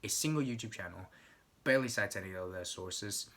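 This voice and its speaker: A man's voice: fairly low pitch, a little fast, a bit bright. A young man rambling casually, swearing often and stumbling over restarts.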